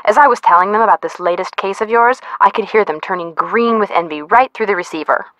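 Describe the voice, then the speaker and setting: A young woman playing a chatty, teasing best friend on the phone in a video game, playful and expressive. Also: medium pitch, fast talker, no room sound, close to an everyday voice, low-quality recording. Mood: teasing, curious. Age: young adult.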